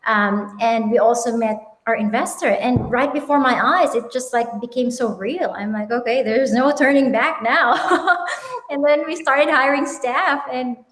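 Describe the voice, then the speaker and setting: A woman in her thirties with a Filipino accent, telling her founder story in an animated, upbeat way with frequent ums and laughs, on a video call. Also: on the high side, roomy sound, poor audio. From Philippines. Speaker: guest, company founder. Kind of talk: casual interview chat. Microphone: webinar video call.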